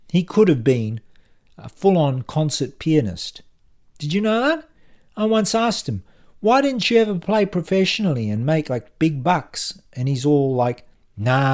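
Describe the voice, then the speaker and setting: A man voicing a cocky, matey male office colleague in an audiobook, pushing his pitch with brash slang. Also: lively intonation, measured pace, darkish tone. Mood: boastful.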